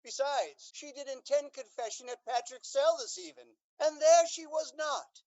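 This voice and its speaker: A woman's voice: sits high, a little mellow, natural voice. A woman playing a commanding older male Duke, urgently issuing orders. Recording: dull lo-fi tape.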